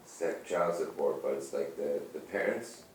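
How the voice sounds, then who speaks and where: A man's voice: extremely low pitch, moderate pace, a little hiss or hum. A man from Germany in casual conversation, rambling and telling stories. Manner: rambling, digressive storytelling. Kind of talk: casual chat. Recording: echoey room, far from mic.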